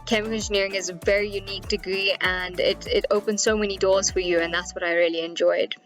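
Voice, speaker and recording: A woman's voice: medium pitch, little pitch movement, fast, fairly bright tone, soft background music, poor audio. A woman, giving a testimonial as a recent graduate. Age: 20s. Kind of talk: personal testimonial.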